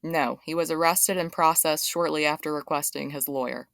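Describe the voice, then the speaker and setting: Low-voiced, guarded female detective. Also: fairly even intonation, moderate pace, creaky, a bit bright, dry recording, studio quality. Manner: matter-of-fact, guarded. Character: terse, guarded female police detective on the witness stand. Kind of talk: audio drama acting.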